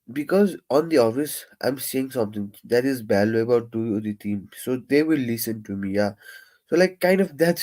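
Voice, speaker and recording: A young man who stammers, talking in a casual, reflective live-stream monologue with many pauses, fillers and restarts. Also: mid-range voice, a little mellow.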